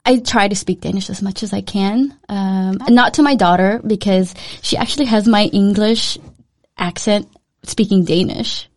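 A woman's voice: mid-range voice, moderate pace. A woman in her thirties hosting a casual podcast chat, talking freely, laughing often, with many fillers and restarts. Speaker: podcast host.

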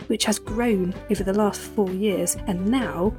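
A woman hosting an interview podcast, warm and enthusiastic, quick with praise. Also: mid-range voice, fairly even intonation, over music. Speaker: podcast host.

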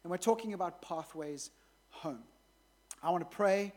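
A woman pastor introducing a sermon series and leading a prayer in an earnest, measured way. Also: fairly low pitch, darkish tone.

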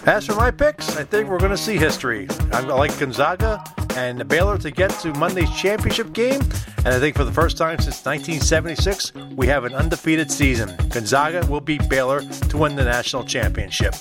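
Higher-pitched male podcast host over music. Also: animated, brisk. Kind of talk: scripted podcast hosting. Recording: music playing behind the voice.

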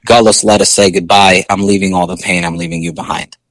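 A male singer with a low speaking voice, chatting casually in an interview with many fillers and restarts. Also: little pitch movement, fairly quick, no room sound.

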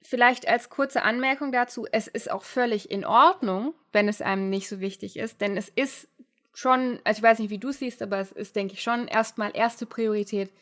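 Woman, host, fairly high voice, chatty, explanatory. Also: fairly even intonation, normal speed, fairly bright tone. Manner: chatty, explaining, laughs often. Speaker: interview host, language teacher. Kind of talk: casual interview conversation.

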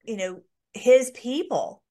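A woman's voice: on the high side, animated, takes it slowly, warm tone. A woman hosting an informal online Bible study, talking in a rambling way with many restarts and fillers.

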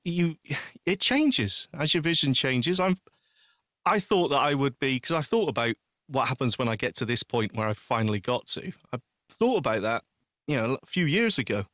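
A man co-hosting a podcast in casual, personal chat, speaking informally and haltingly as he thinks aloud. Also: mid-range voice, a bit bright, dry recording, clean studio sound. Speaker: podcast co-host.

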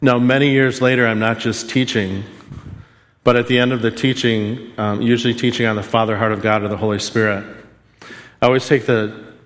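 A man's voice: medium pitch, fairly even intonation, fairly quick. A man giving a sermon, telling a personal story in an earnest, self-deprecating way. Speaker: preacher. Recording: long hall reverb.

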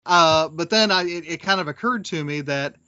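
A man talking casually as a podcast panelist, reflective and halting, with a wry streak. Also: fairly high pitch, bright, forceful tone, no echo, muffled recording. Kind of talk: casual discussion of old radio drama.

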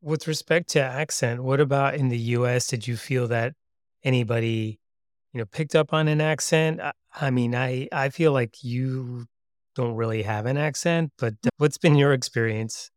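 A man who interviews the guest and reads short narrated bridges in a calm, measured, encouraging way. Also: mid-range voice, no room sound, clean studio sound.

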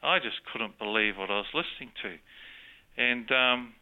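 A man's voice: medium pitch, normal speed, narrow, tinny audio. A man with a New Zealand accent, a guest telling a story of his faith in earnest, conversational testimony, with frequent restarts. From born in Australia, grew up in New Zealand. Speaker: interview guest.

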